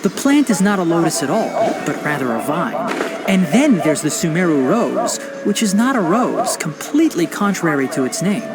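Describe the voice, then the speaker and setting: A man voicing a calm, learned young male forest ranger and botanist in a video game, explaining things in a patient, precise, lecturing way. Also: on the high side, normal speed. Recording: loud game soundtrack music behind.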